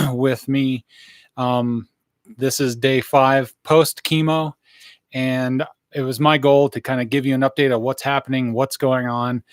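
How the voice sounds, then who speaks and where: A hoarse man in his thirties giving a casual, rambling live update into a studio microphone in a small room. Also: medium pitch, dry recording. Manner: rambling, many fillers and restarts. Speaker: vlogger giving a health update. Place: small indoor room, wood-plank backdrop. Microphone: studio mic on boom arm in front.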